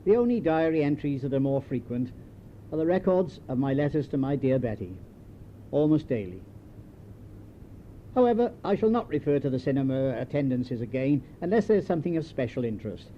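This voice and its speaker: An older man with a British English accent reads his own memoir as scripted narration, in a measured storytelling manner with dry understatement. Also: sing-song. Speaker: memoir author reading his own writing. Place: home tape recording. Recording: dull home tape with clear rumbling noise.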